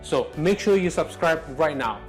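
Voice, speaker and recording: A middle-aged man, the channel host, delivering an energetic scripted promo to camera in a living room. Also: a little high, moderate pace, faint music behind. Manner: energetic, salesy.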